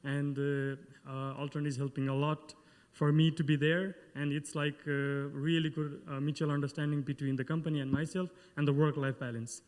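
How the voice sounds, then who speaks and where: A man from Nepal speaks at a conference panel, his voice sitting a little high. He hesitates often with fillers and restarts. The sound carries a long reverb from a large hall. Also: flat delivery, moderate pace, darkish tone. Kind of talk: conference testimonial.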